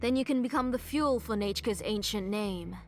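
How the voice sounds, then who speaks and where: A woman voicing a tragic video game villain, a mother consumed by grief. Her delivery is tender, halting and deliberate, with obsession under the softness. Music plays behind her. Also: medium pitch, close to an everyday voice. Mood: cold, resolute. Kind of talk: dramatic video game voice acting. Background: background music.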